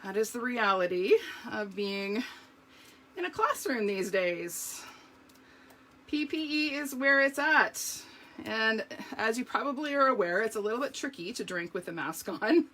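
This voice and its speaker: A woman showing card-making step by step in a live video, chatty and talking to her viewers, her voice slightly hoarse. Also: a little high, animated, a little slow, pushed and bright. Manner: chatty, explains each step, talks to viewers. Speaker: craft demonstrator.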